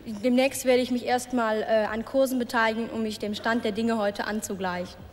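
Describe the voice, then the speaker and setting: Female contestant, mildly high, mock-earnest, reverberant studio. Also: fairly even intonation, moderate pace, noisy background. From West Germany. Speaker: game show contestant. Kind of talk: mock-serious self-introduction.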